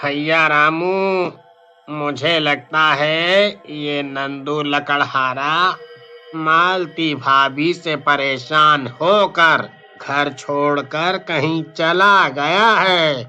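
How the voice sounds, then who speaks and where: A man's voice: fairly high pitch, extremely slow, bright, forceful tone, clear, resonant tone, soft background music, full character voice. A man voicing a gossipy, mocking young villager in a storybook tale. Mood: matter-of-fact.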